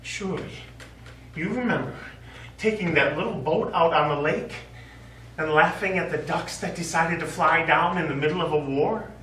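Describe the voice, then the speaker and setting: An actor on stage playing a middle-aged American war veteran, remorseful and lovelorn, speaking in a halting, earnest, pleading way. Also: male voice, on the high side, sharp tone. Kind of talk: stage play acting. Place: small theatre stage. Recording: echoey theatre stage, distant mic, faint noise.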